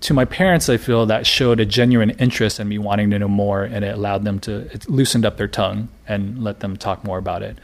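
Man, thirties, from the US, deep-ish voice, gravelly, relaxed chatty interviewee. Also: a little fast, few pauses. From United States. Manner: relaxed, chatty, many fillers and restarts. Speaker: interviewee, graphic novelist. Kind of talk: casual interview talk.

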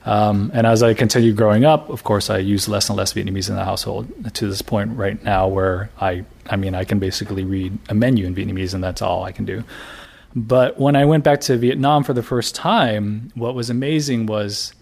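A man's voice: on the low side, brisk, vocal fry. A man in his thirties from the United States, an author talking casually in an interview with fillers and restarts. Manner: relaxed, chatty, many fillers and restarts. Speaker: interviewee, graphic novelist.

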